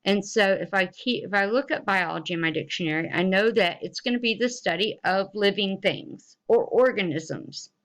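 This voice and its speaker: A woman's voice: mid-range voice, moderate pace, few pauses. A woman giving an instructional lesson as a teacher, explaining things informally and encouragingly to students.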